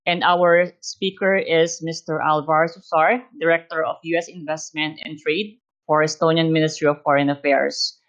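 A woman moderating a webinar in a halting, careful way, giving introductions and housekeeping. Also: sits low, level tone. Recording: dull lo-fi online call.

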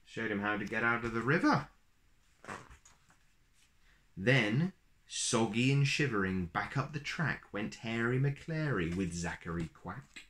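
A man in his thirties reading a picture book aloud to young children in an expressive, deliberate storytelling manner. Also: on the low side, a little hiss or hum. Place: small plain room indoors.

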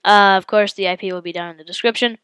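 Congested, excitable woman gamer. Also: mid-range voice, quick pace, clear, resonant tone, no room sound. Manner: excitable, yelps and exclaims at the game. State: congested with a head cold. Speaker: gaming channel host. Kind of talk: live gameplay commentary.

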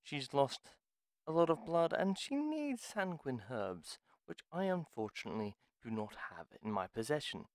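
A man playing a gruff, wary retired pharmacist in a fantasy audio roleplay, speaking in a formal, deliberate, theatrical way. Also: mid-range voice, very animated, no room sound, lightly acted. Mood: grudging, urgent. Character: gruff, reluctant retired male pharmacist, courteous but wary. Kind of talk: dramatic audio roleplay monologue.

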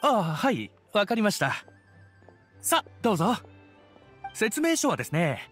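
Casting: courteous young game shopkeeper, high voice, very lively intonation, eager sales patter. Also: male voice, a little slow, soft background music, full character voice. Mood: polite, flustered. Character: polite eager young male foreign toy merchant. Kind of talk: video game voice acting.

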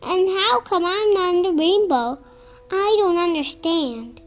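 A child reading a children's picture book aloud in an expressive, somewhat halting way, with stumbles. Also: extremely high pitch. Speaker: storybook reader.

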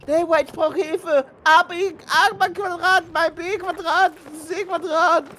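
An excitable gamer chatting casually during play, silly and hyperactive, often exclaiming. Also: very high-pitched, takes it slowly. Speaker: gaming video partner. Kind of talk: excitable casual chat while gaming. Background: video game vehicle and combat noise.

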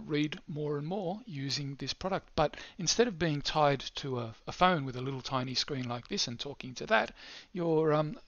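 An older man presenting an unscripted screencast walkthrough of educational software. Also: medium pitch, no echo. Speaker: presenter of an educational technology demo. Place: small indoor room. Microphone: headset. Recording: slightly dull, band-limited headset audio.

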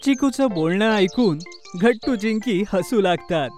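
A woman's voice: mid-range voice, lively intonation, a little slow, darkish tone, faint music behind. A woman reading scripted narration for a children's cartoon story.

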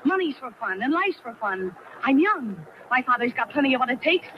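Spoiled, insolent young girl, animated 1940s radio style, muffled and noisy recording. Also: high voice, brisk. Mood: defiant, scornful. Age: young adult. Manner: petulant, slangy, insolent. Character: spoiled, rebellious young American rich girl craving fun.

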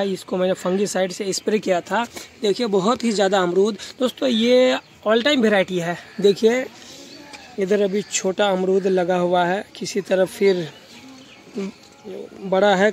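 A high-voiced man with a Bengali accent narrates an informal garden tour for a vlog. He speaks to viewers directly and often repeats his phrases. Faint outdoor noise and birds sit behind his voice. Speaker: gardening vlogger.

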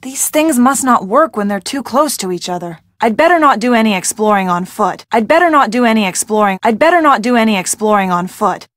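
Game sidekick: young woman, plucky and wry, fairly high voice, dry studio sound. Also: expressive, fairly quick, hardly any pauses, fairly bright tone, no echo. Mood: matter-of-fact. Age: young adult. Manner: casual, wry, forthright. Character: plucky, wry young female detective's friend. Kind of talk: video game character acting.

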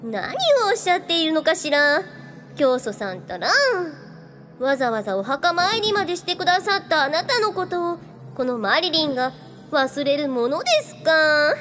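A woman plays a glamorous lady ghost with an extremely high, swooping voice. She is coy and flirtatious, teasing and flattering in radio-drama fashion, over faint music. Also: moderate pace.

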